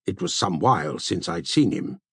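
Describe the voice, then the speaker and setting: A man narrating a literary audiobook in an expressive storytelling manner, with a gravelly, husky voice. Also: low-pitched, moderate pace, no echo, clean studio sound. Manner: expressive, storytelling delivery with shifts for dialogue. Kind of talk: scripted literary narration with character dialogue.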